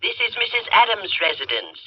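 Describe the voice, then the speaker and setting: On a muffled telephone in an old radio drama, a reserved woman taking a household call. Her voice is husky and swings widely in pitch, while her manner stays formal and guarded. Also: big pitch swings, fairly quick.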